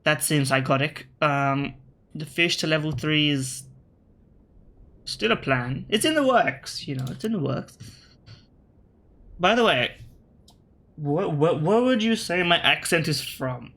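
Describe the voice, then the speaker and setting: A man with an Australian accent, shifted by British media, talking over his gameplay in a casual way. His voice sits a bit high and sounds bright and forceful. He thinks out loud, catches himself mid-sentence and throws dry remarks at the players he faces. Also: animated, a little slow, long pauses. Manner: thinks aloud, self-correcting, wry asides to opponents. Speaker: gaming video creator.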